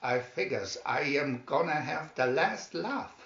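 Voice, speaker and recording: A man voicing a gruff, plucky cartoon sailor with folksy, ungrammatical speech, in a storybook reading. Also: a little high, lively intonation, a little slow, a bit bright, exaggerated voice. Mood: sly, confident. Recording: dull, roomy home recording.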